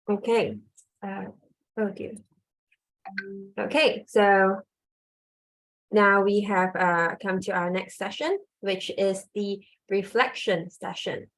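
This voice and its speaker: A woman's voice: mid-range voice, fairly even intonation, slow, pauses often, fairly bright tone, rough recording. A woman emcee moderating an online conference over a video call, polite and hesitant with many fillers.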